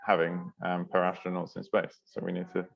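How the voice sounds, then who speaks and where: A man's voice: deep voice, monotone, fairly quick, vocal fry. A native English-speaking man moderating an online workshop, hesitant and apologetic with many fillers. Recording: dull lo-fi call audio.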